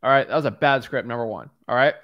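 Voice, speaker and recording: A man's voice: mid-range voice, fast, muffled recording. A young man in his twenties coaching a student on a video call, talking bluntly and directly into a studio microphone in a home studio. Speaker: wholesaling coach. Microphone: studio mic on a boom arm.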